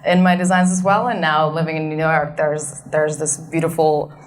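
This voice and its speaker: Low-voiced Finnish woman, relaxed and thoughtful. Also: moderate pace. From Finland. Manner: relaxed, thoughtful, many fillers and restarts. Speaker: guest speaker, design manager. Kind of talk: onstage fireside chat. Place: event stage in front of an audience.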